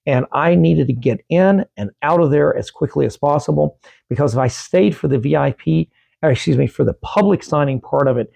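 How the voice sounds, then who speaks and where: A man's voice: on the high side, a little fast, vocal fry, darkish tone, dry recording. A middle-aged man talking casually to his viewers about comics, rambling and correcting himself as he goes, while losing his voice. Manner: rambling, self-correcting, friendly sign-offs. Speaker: comic book collecting YouTuber. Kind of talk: casual vlog talk to camera.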